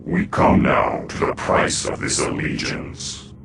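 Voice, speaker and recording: A man voicing a menacing, ageless undead lord in a fantasy game cutscene, gravelly, grave and imperious. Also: takes it slowly, close to an everyday voice. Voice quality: gravelly, harsh. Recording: echoing, noisy ambience.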